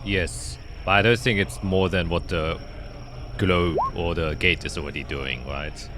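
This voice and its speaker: A man's voice: low voice, fairly even intonation, a little slow, background noise. A man speaking casually as game master of a tabletop roleplaying game. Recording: music under the voice. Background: music.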